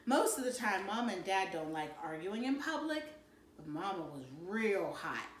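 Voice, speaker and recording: A woman reading a children's novel aloud in an animated, dramatic storytelling manner. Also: medium pitch, moderate pace. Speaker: read-aloud narrator. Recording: roomy, distant mic, faint noise.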